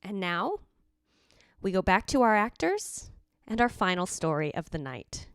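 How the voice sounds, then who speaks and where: A woman hosting a show from a script in a measured, dramatic way. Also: medium pitch, sing-song, smooth, no echo, studio quality. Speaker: host.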